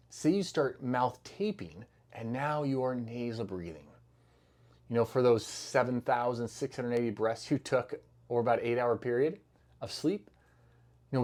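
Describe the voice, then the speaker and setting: A middle-aged man explaining health habits in a relaxed, chatty way. He wanders through his points with plenty of fillers and self-corrections, at an ordinary pitch, sounding like a clinician talking one-on-one with a patient. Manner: loose, conversational, many fillers and restarts. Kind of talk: casual educational talk to camera.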